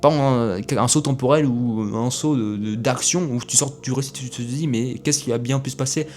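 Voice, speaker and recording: A man's voice: mid-range voice, normal speed, a little mellow, soft background music. A young man giving a casual, rambling film review, full of fillers and restarts. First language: French.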